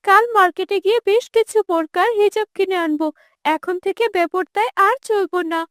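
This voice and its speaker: A woman's voice: very high-pitched, brisk, a bit bright, no echo, clean studio sound. A woman voicing a headstrong but devoted young daughter in a cartoon drama, emphatic and earnest. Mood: repentant. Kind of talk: animated moral-drama dubbing.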